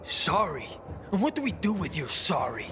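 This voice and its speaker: A bitter, quick-tempered convict in a video game. His voice is on the high side and swings widely as he accuses and grumbles. Music plays underneath. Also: big pitch swings, pushed and bright, exaggerated voice. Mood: irritated, resentful. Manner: agitated, accusing, profane outbursts. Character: bitter, hot-tempered male prisoner, foul-mouthed and resentful. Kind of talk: video game cutscene acting. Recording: music behind the voice.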